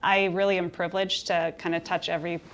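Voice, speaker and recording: Upbeat lower-voiced businesswoman interviewee. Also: level tone, fast talker. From Minnesota, USA. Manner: upbeat, articulate, thinks aloud with frequent ums. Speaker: health insurance executive, guest. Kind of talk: interview conversation.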